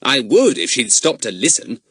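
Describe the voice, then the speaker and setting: A man's voice: mid-range voice, big pitch swings, moderate pace, a bit bright, husky, no room sound, studio quality, pitched above the performer's own voice. A man voicing a plump, touchy boy who complains in an aggrieved way for a storybook reading.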